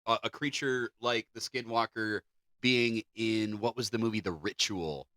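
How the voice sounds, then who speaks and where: A man's voice: lowish voice, measured pace, bright, forceful tone, dry recording. A man co-hosting a podcast, chatting casually and reading out stories. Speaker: podcast co-host.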